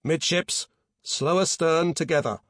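A man voicing a commanding naval officer in an audiobook, shouting clipped, urgent orders. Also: a little high, unhurried, no echo, clean studio sound, pitched above the performer's own voice.

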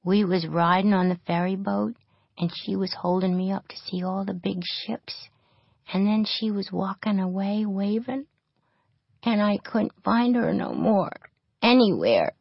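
A woman's voice: on the high side, very slow, no echo, voice pushed up. A woman voicing a frightened little orphan girl who cries and pleads, in a dull lo-fi storybook recording. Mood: tearful. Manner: crying, pleading.

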